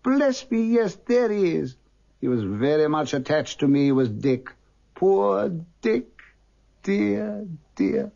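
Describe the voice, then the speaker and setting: A man voicing an excitable old male miser who exclaims with excitement. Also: high-pitched, takes it slowly, creaky, lo-fi sound, voice pushed up, exaggerated voice. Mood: excited.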